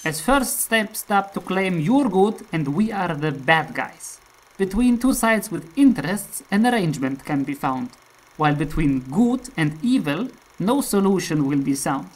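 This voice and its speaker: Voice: mid-range voice, lively intonation, unhurried. A performer plays a cynical autocrat holding forth in satirical verse. The voice is commanding and sardonic, declaiming each rhymed line with shrewd scorn. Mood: shrewd, persuasive.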